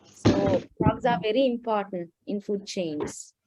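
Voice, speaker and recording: A woman on a video call casually explaining animals to children, a little halting and checking in as she goes. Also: mid-range voice, dark tone, no echo. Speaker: guest explainer on wetland animals. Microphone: video call microphone.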